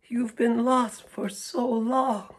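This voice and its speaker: A man voicing a frail, tender old woman in a storybook, in a breathy whisper full of wonder. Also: extremely high pitch, fairly even intonation, takes it slowly, pitched above the performer's own voice, heavily acted.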